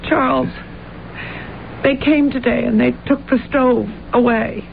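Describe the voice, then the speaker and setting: A worried young wife, pleading in a high, slightly gravelly voice. It comes through a thin, phone-like old radio broadcast with a faint hiss. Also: female voice, fairly even intonation, a little slow. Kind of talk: 1970s radio drama acting.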